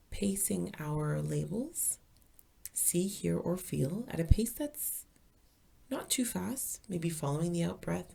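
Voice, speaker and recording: Woman doing guided meditation, soothing n calm, mellow dark tone, husky and breathy. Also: lowish voice. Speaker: meditation teacher.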